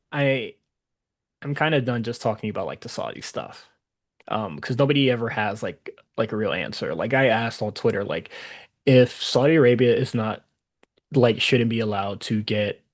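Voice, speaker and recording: A man co-hosting a wrestling podcast in casual chat, laid-back and profane, laughing often. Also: mid-range voice. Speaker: podcast co-host.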